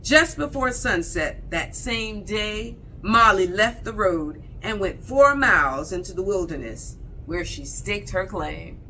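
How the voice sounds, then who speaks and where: Bright, lively woman reading a storybook slowly. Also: medium pitch, slight background noise, rough recording. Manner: deliberate, dramatic pauses between phrases. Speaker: storyteller reading a picture book. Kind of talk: expressive read-aloud storytime narration.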